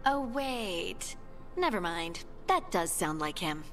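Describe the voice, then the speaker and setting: Lively young woman scout. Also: on the low side, takes it slowly, soft background music, exaggerated voice. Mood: amused doubt. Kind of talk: game party dialogue.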